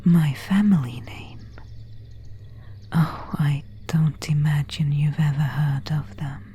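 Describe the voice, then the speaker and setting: A woman voicing an elegant vampire countess in a whispered ASMR roleplay, courteous and quietly predatory, with formal, old-fashioned diction. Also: deep voice, slight background noise. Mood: softly guarded. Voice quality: breathy whisper.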